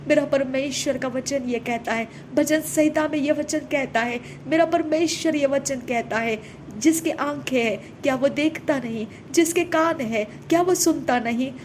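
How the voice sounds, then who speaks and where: High-voiced woman praying, noisy rumble. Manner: repetitive, fervent thanksgiving in steady chant-like phrases. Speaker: prayer minister. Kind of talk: spoken devotional prayer. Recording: noisy recording with low rumble.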